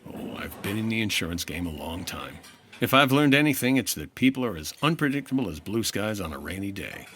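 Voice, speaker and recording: A man's voice: lowish voice, big pitch swings, brisk, fairly bright tone, slightly rough, heavily acted. A man playing a patient, wry 1950s insurance investigator in old-time radio detective style, dry and understated. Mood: measured, reluctant. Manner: dry, understated, world-weary.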